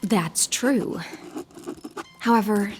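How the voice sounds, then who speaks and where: An actress playing a composed, courteous woman of rank in a video game, speaking formally and with measured dignity. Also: medium pitch, faint music behind. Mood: polite, reserved. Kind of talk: video game character acting.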